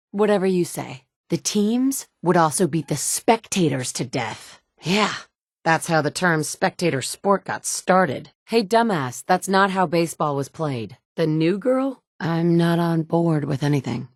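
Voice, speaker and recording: A woman's voice: sits low, no room sound. An actress voicing a wry woman survivor from before the war, blunt and sardonic with caring moments, in game dialogue recorded on a studio mic. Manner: dry and sardonic, shifting between blunt and caring. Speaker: player character.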